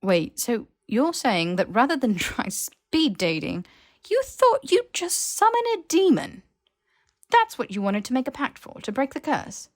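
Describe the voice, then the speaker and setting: A woman's voice: on the high side, sing-song, measured pace, pitched above the performer's own voice. A young man wizard performed by a woman reader, brash and sarcastic, leaning hard on his words. He sounds exasperated and blunt, a hero in a fantasy story reading. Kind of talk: character voice in fan fiction audiobook.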